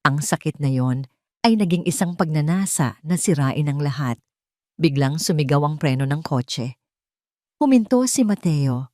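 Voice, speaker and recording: Female Filipino narrator for dramatic fiction, slightly low pitch, very dry recording. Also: expressive, measured pace, mellow, no echo. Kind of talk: dramatic scripted story narration.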